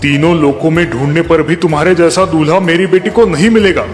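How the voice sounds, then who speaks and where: A man's voice: on the high side, a little fast, natural voice. A man voicing an amiable but sly sun god in a children's cartoon story, stately and indulgent. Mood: flattering. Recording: long hall reverb, faint music.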